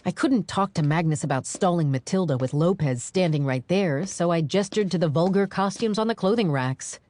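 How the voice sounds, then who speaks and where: A woman narrating a dramatized audiobook in the first person, with wry, expressive storytelling. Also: on the low side, moderate pace.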